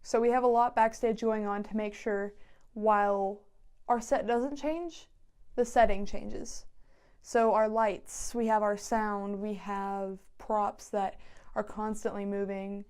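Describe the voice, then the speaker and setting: A woman's voice: mid-range voice, steady tone, slow pace, a little mellow, clear, resonant tone. A woman in her late teens, a student stage manager, talking casually in an interview in a small office.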